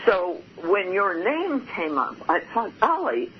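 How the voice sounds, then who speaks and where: A man's voice: high voice, sing-song, slow. A man hosting an interview on a radio show, speaking hesitantly with many fillers and restarts. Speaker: host. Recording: muffled lo-fi line, faint hiss.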